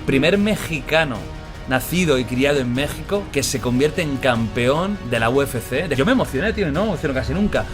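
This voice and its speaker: A man's voice: medium pitch, expressive, a little fast, over music. A man from giving casual, heartfelt commentary.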